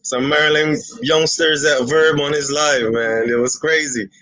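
A man from Barbados with a Barbadian accent, calling in to a casual chat and giving relaxed, confident opinions. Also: fairly high pitch, unhurried, poor audio. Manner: laid-back, confident opinions on battle rap. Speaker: guest caller.

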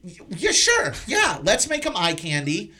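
A man's voice: sits high, huge pitch swings, a little slow, sharp tone. A man hosting a casual film discussion, talking informally and teasingly with plenty of swearing. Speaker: podcast host.

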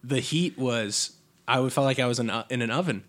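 A man co-hosting a casual podcast, sniffly from allergies, excitable and swearing freely. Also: mid-range voice, brisk. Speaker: podcast co-host. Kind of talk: casual wrestling chat. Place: Chicago area.

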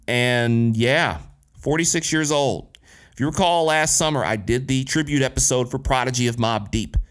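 A man's voice: medium pitch, fairly bright tone. Casual man in his thirties hosting a podcast. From Detroit, USA. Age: 30s. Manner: casual and candid, with frequent profanity and slang. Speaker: podcast host. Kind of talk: solo podcast monologue.

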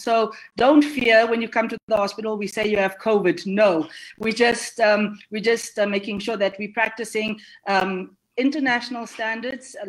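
An earnest woman, a medical doctor, introducing herself on an online panel in a measured way. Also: fairly high pitch, rough recording. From South Africa.

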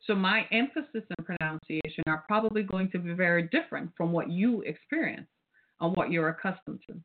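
A woman's voice: lowish voice, fairly quick. A woman from the British Caribbean with a Caribbean accent, hosting a solo podcast in a preachy, rhetorical way and often tagging her points with "right?". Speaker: podcast host. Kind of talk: casual solo podcast commentary. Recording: phone-like, narrow band.

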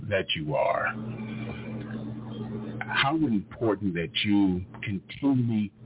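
A deep-voiced male radio host sets up long, carefully worded questions. The sound is thin and tinny, like a phone line, with a clear layer of noise beneath it. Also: animated. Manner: deliberate, elaborate phrasing. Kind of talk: interview questions.

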